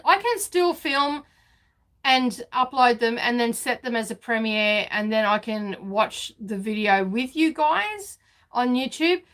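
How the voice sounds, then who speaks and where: A woman's voice: on the high side, a bit bright. A woman, hosting a casual live sewing chat from her home studio, chatty and laughing often. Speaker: sewing vlog host.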